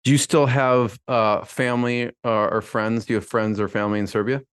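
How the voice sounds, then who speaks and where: A man's voice: medium pitch, flat delivery, fairly quick, clear, resonant tone. A man hosting an interview, asking loose, rambling questions with frequent restarts. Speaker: podcast host.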